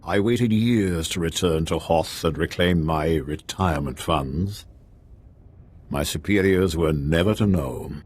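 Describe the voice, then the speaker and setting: An actor playing a scheming, greedy renegade admiral in a video game, husky and formal, with a deliberate, commanding manner. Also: male voice, low-pitched, a little mellow. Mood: bargaining. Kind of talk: dramatic video game acting.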